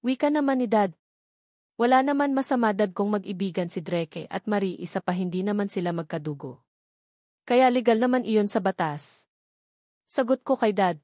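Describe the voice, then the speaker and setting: A woman narrates a romance story in the first person, reading from a script. Her voice sits at a medium pitch. The sound is dry, with hardly any room in it. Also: moderate pace, pauses often, warm tone, dry recording. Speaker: audiobook narrator.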